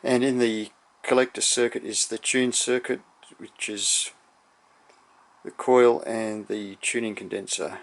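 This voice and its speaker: A man with a low, noticeably creaky voice presents a hands-on electronics demonstration. He explains things in a measured, slightly hesitant, unscripted manner, as a hobbyist would. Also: little pitch movement.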